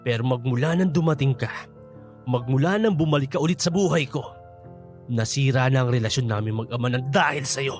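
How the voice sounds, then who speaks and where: A man plays a hostile, hard-drinking father in a radio drama. His mid-pitched Filipino-accented voice is curt and confrontational. Faint music sits underneath. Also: a little fast, close to an everyday voice. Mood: bitter, accusing. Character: bitter, hostile middle-aged father who has been drinking.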